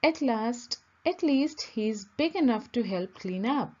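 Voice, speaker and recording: A woman's voice: a little high, animated, slow pace, muffled recording. A woman as a kind, patient big sister. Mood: reassuring. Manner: gentle, reassuring.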